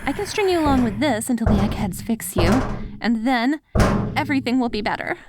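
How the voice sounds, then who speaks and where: A woman's voice: fairly high pitch, lively intonation, brisk, loud music behind the voice, natural voice. A woman playing a devoted, hopeful wife tenderly coaxing her zombie husband in an ASMR audio roleplay. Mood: gentle, methodical. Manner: tender, coaxing, speaks directly to a loved one.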